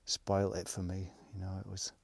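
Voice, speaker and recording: A man's voice: deep voice, monotone, normal speed, creaky, no echo. A man, casually recounting his travels in a rambling way, with plenty of fillers and restarts. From England. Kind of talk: casual spoken storytelling.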